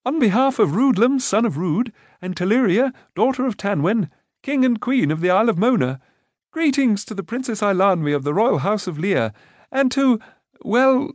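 A cheerful, scatterbrained young prince in an audiobook, as a man puts him on. The voice is pitched well up and bounces around as he chatters breezily. The recording is dry and close. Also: lively intonation, moderate pace, no room sound. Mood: breezy. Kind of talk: audiobook character voice.

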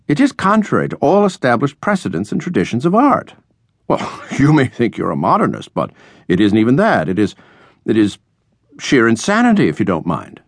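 A man voicing a stuffy, formal older male college dean who speaks in measured, disapproving phrases for a storybook. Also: medium pitch, wildly swooping pitch, no echo, voice pushed up. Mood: lecturing, exasperated.

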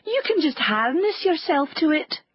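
A woman's voice: high-pitched, sing-song, moderate pace, lo-fi sound, voice pushed up. A woman voicing a perky, bossy little hen in a children's fairy tale audiobook.

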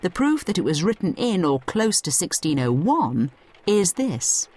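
A woman's voice: on the low side, sing-song, a little slow, mellow, breathy edge. A woman narrating a scripted educational audio guide, explaining things conversationally as she addresses the listener directly.

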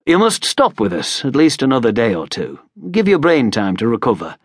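Man acting stout country lord, hearty, bluff, informal, husky voice, dry close sound, audiobook character. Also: mid-range voice, big pitch swings, normal speed, dry recording. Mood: bluff, welcoming. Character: hearty short broad lord, hospitable and plain-spoken.

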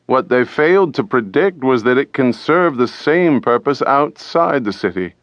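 A man voicing a wise old king in an audiobook, speaking in a measured, knowing manner. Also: medium pitch, lively intonation, no echo, highs cut off, lightly acted. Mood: calm, musing. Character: wise old king musing on the enemy's trophy.

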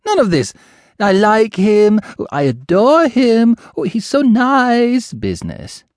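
A man's voice: sits high, huge pitch swings, slow pace, a little mellow, no room sound, clean studio sound, voice pushed up, exaggerated voice. A man reading an audiobook, voicing an elderly female ghost witch who is doting, nosy and fond of calling people dearie. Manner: fluttery, motherly, teasing, calls others dearie.

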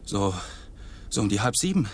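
A man's voice: fairly low pitch, sing-song, moderate pace, a little hiss or hum. An actor playing an anxious, guilt-ridden young man in a radio drama, explaining himself earnestly. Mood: shaken, explaining. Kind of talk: German radio drama acting.

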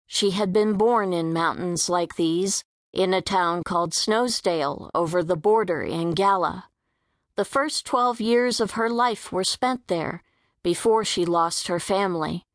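Slow, low-voiced woman narrating a story. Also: no room sound, studio quality. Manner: measured, careful reading. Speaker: audiobook narrator.

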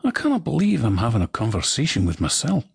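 A man's voice: medium pitch, huge pitch swings, fast, gravelly, breathy edge, no room sound, studio quality, voice pushed up, heavily acted. A man voicing a grumbling male inner voice with a broad Scottish accent, scolding in thick dialect. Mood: bewildered. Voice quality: brogue-heavy, scolding.

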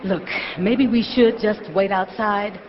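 An actress playing a singing star's anxious, loyal assistant, earnest and placating, in an audio drama. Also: female voice, medium pitch, fairly even intonation, takes it slowly, slight background noise, lightly acted. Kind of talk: theatrical audio drama acting. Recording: dull, reverberant stage recording.

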